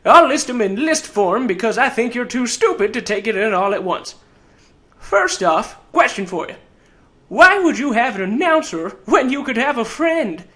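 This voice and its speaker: A tiny old fantasy game adventurer with a very high, odd, piercing voice. He swoops around in pitch, sarcastic and cocky, teasing the listener with folksy grammar as he pitches himself as an announcer. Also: very animated, normal speed, bright, forceful tone, heavily acted. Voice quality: weird, high-pitched character voice. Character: tiny old sarcastic male keen-folk treasure hunter.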